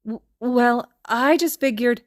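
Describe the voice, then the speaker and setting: A woman's voice: on the high side, slow, pitched above the performer's own voice. A woman voicing a cheerful, earnest, eager young male adventurer in an anime story, bold and guileless. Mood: flustered, earnest. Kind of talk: anime fan fiction character reading.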